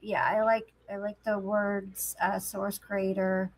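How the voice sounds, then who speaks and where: A woman's voice: medium pitch, little pitch movement, takes it slowly, a crowd in the background, poor audio. A woman chatting casually about her beliefs in a reflective, plain-spoken and opinionated way. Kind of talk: casual spiritual conversation.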